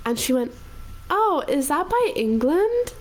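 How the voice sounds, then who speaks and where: A woman guest musician chatting casually on a live stream, quick to laugh at herself. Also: sits high, very animated, a little hiss or hum. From Wales. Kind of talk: casual chat.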